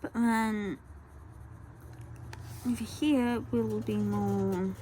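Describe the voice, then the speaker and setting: A woman, talking softly through a colour-along tutorial and often dropping to a whisper. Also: medium pitch, steady tone, very slow, slight background noise. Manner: soft, rambling, often drops to a whisper. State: croaky voice.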